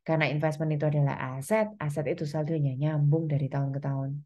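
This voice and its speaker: Mellow woman lecturer explaining step by step. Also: low voice, fast talker, lo-fi sound. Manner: instructional, step-by-step, with frequent reminders and check-in tags. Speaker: accounting lecturer. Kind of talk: explanatory online lecture, addressing students.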